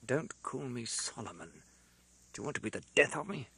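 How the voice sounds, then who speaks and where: A furtive man who speaks low and abrupt, always vexed and wary, as a male narrator plays him. His tone is bright and slightly husky. The recording is dry and close. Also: lively intonation, moderate pace, no echo, full character voice.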